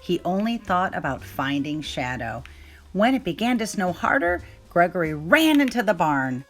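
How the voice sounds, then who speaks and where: A woman's voice: lowish voice, very animated, moderate pace, fairly bright tone, faint music behind. A woman reading a children's story aloud as narrator.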